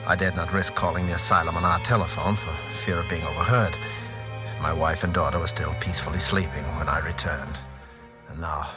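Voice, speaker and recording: A man's voice: sits low, fairly even intonation, brisk, over music, natural voice. An actor playing a blunt, hot-tempered and protective family man in a dramatic 1940s radio suspense style. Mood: grim narration. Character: hot-tempered, protective husband and father narrating his ordeal. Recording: old 1940s radio recording.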